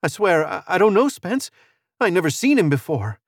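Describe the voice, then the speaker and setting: Anxious, devoted Old West woman done by a male reader, lifted pitch, trembling delivery, dry storybook sound. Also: animated, moderate pace, darkish tone, no echo, lightly acted. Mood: frightened. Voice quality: quavering, raised voice. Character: frightened, devoted frontier woman, quavering.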